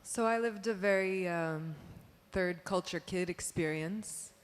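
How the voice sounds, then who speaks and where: Low voiced poet lady talking quick and lively, echoey hall. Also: clear, resonant tone. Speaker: panelist poet and performer. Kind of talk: panel discussion answer. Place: museum panel stage. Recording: long hall reverb.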